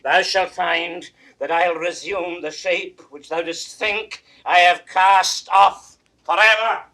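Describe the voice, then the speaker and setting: An actor playing a proud, raging old king in a classical 1960s Shakespeare audio drama, grand and declamatory, swinging from hushed to roaring. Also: sits high, fairly even intonation, slow, recorded from a distance, exaggerated voice. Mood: bitter, threatening. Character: aged king, proud, raging at his daughter.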